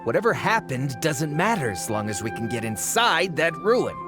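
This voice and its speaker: A brash, greedy treasure hunter from a video game, impatient and dismissive. His fairly high voice swings widely, and faint music plays behind. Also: sing-song, moderate pace. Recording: game score playing faintly.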